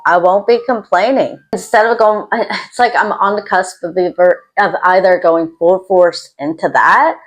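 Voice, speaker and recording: A woman with a lowish voice chats informally to camera as the host of her own video channel. She comes across as introverted and self-deprecating, rambling from one thought to the next. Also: unhurried, hardly any pauses, low-quality recording. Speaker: YouTube host. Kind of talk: casual chat to camera. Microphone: external microphone.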